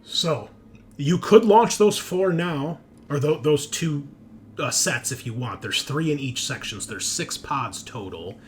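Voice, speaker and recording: A man narrating a tabletop roleplaying game as game master, in a conversational, halting way with emphatic swells. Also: fairly high pitch, expressive. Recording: clear background noise.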